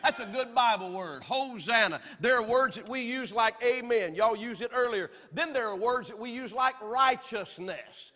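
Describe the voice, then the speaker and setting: A man from Alabama with a Southern accent, preaching a sermon from the pulpit with emphatic swings between loud and soft. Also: extremely high pitch, moderate pace, hardly any pauses, long reverb tail. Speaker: pastor. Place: church sanctuary.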